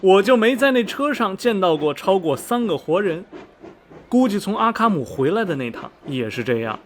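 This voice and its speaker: A man's voice: high-pitched, big pitch swings, moderate pace. A man playing a chatty, folksy middle-aged ticket clerk in a radio drama, rambling and exclaiming colloquially. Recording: faint background noise and ambience.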